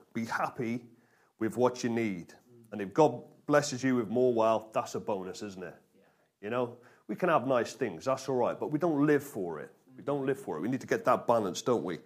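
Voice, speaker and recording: A man preaching an informal sermon to a congregation, colloquial and jokey, often checking in with short questions. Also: medium pitch.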